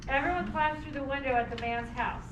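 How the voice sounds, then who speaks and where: Female narrator for amateur stage reading, high voice, roomy and off-mic. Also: a little fast. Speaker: narrator and discussion leader. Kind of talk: reading stage directions for a play. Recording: echoey, noisy room, distant mic.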